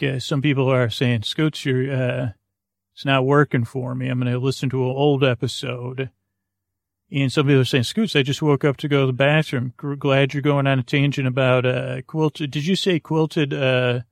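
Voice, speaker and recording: A man with a gravelly, fry-laden voice at a middling pitch hosts a bedtime podcast. He improvises in a hesitant, meandering way, drifting from one tangent to the next. The sound is close and dry, with no room around it. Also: no echo. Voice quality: gravelly, creaky. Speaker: podcast host. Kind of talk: rambling improvised bedtime monologue.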